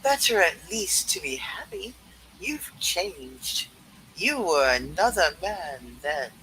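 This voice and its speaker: A performer playing a sorrowful young fiancée, speaking earnestly and deliberately in an amateur play reading. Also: mid-range voice, very animated, sharp tone, breathy edge, pitched below the performer's own voice, exaggerated voice, poor audio. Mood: sad, reproachful. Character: sorrowful young fiancée gently ending her engagement.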